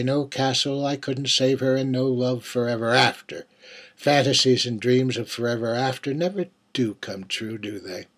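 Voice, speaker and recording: A man performing a dramatic monologue as a menacing, wistful serial killer confessing in an intimate, halting, husky voice. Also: medium pitch. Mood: wistful, melancholy. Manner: intimate, halting, dropping to near-whispers. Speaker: writer reading own monologue.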